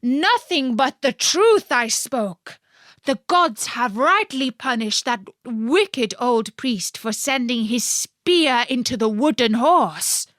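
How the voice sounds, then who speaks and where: A woman's voice: a little high, expressive, slow, fairly bright tone, no room sound, clean studio sound, pitched above the performer's own voice. A woman playing a lying, aggrieved Greek man in a storybook tale, speaking persuasively and declaiming. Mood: triumphant, insistent.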